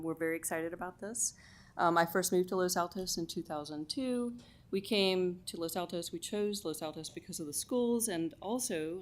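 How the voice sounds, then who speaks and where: A middle-aged woman giving an enthusiastic public comment at a city council meeting, chatty with lots of "um"s. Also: on the low side. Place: council chamber.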